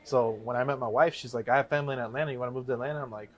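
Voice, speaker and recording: Casting: man in his thirties from the Chicago area, quick rambling storyteller, mid-pitched. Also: fairly even intonation. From Chicago area, USA. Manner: rambling, self-correcting storyteller. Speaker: guest, real estate investor. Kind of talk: casual interview talk.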